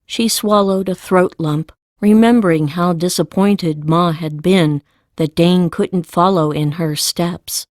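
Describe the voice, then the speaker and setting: Unhurried woman narrator, very slow pace. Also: on the low side, steady tone, darkish tone, no echo, studio quality. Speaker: audiobook narrator. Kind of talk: scripted story narration.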